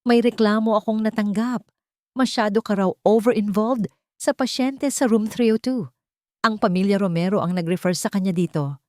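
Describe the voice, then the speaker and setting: A woman's voice: mid-range voice, normal speed, mellow, dry recording, clean studio sound, voice pushed up, close to an everyday voice. A woman with a Filipino accent voicing a serious, blunt hospital superior in an audio story. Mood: stern. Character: stern senior doctor, a cautioning hospital superior.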